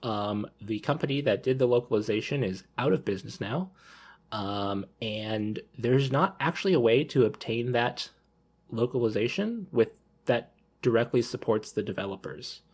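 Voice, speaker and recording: A man's voice: mid-range voice, animated, moderate pace, a little mellow. A man on a podcast panel chatting casually, tentatively thinking aloud as he goes.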